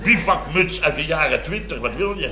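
Blustery, high-pitched middle-aged contractor. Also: male voice, animated, normal speed, a crowd in the background. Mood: exasperated grumbling. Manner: rambling, exclamatory, constant tag words. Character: blustery middle-aged boastful male building contractor, rambling anecdote teller. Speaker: comic lead actor. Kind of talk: 1970s radio comedy acting. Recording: dull old radio recording, roomy, audience laughter.

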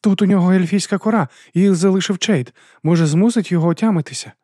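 A man's voice: on the high side, steady tone, fairly quick, darkish tone, dry recording, studio quality, pitched above the performer's own voice, lightly acted. A man reading an audiobook and voicing a pale, anxious jester who speaks with worried urgency.

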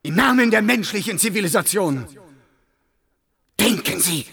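Zealous, formal male official, higher-voiced. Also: expressive, moderate pace, vocal fry, sharp tone, exaggerated voice. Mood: rousing, solemn. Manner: formal, precise, declamatory. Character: zealous, irritable male research official in dystopian science fiction. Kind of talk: German radio play acting.

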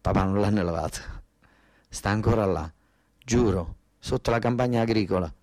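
A man's voice: fairly low pitch, normal speed, darkish tone, natural voice. An actor playing an agitated southern Italian son, a worker from Basilicata pleading with his father in a theatrical dialect monologue, repeating himself and stumbling over words. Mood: insistent, alarmed.

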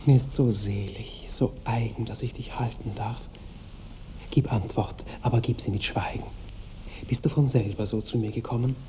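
A man's voice: mid-range voice, steady tone, normal speed, mellow, a little hiss or hum, lightly acted, rough recording. An actor playing a tender, protective young nobleman in love, speaking earnest lyrical verse in a 1960s radio drama. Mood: tender, enraptured. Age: young adult.

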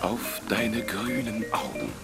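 Cheesy smitten romeo, really slow and languishing, loud romantic music under him. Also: male voice, fairly low pitch. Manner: languishing, flattering. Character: smitten, syrupy macho male Romeo in a kitschy romance. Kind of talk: overblown romantic radio drama acting. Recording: radio drama over loud music.

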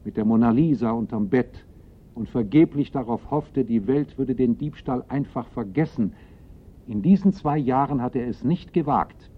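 A man's voice: medium pitch, moderate pace, natural voice. A man narrating a criminal case in a radio play, in a sober, formal, factual manner. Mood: sober, summing up. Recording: muffled, narrow-band old radio recording.

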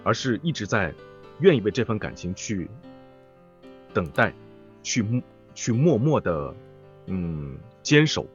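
A man's voice: fairly low pitch, big pitch swings, slow pace, long pauses, muffled recording, soft background music. A man speaking as a voice actor guest in an interview, reflective and hesitant as he searches for words. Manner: hesitant, thoughtful phrasing.

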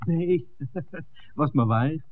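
An actor playing an eager, easygoing television director, speaking in a breezy, exclamatory 1960s Dutch radio drama style. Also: male voice, on the high side, extremely slow, phone-quality sound. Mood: sociable. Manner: exclamatory, breezy, chuckling between phrases. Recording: thin, noisy 1960s radio recording.

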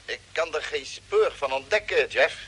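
An actor playing a practical, blunt engineer in a space crew in a vintage radio drama. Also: male voice, fairly high pitch, expressive, normal speed, sharp tone. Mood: searching. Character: practical male crew engineer driving a tractor. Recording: faintly noisy vintage radio recording.

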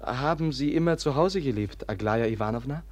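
An actor playing a gentle, earnest, absent-minded prince in a German radio drama, speaking hesitantly and courteously in a husky voice. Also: male voice, mid-range voice, lively intonation, fairly quick, no room sound, close to an everyday voice.

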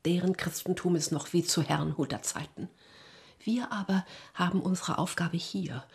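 An actress playing a calm, scheming, pious deaconess who is measured and often hushed, in a radio drama. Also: female voice, lowish voice, gravelly. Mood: stern, secretive. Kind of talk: naturalistic radio drama acting.

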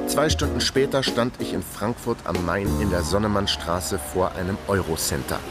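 A man's voice: on the low side, moderate pace, over loud music, natural voice. An actor playing a world-weary investigator, narrating his case drily in a radio crime drama. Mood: wry, reflective. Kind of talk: scripted first-person radio drama narration.

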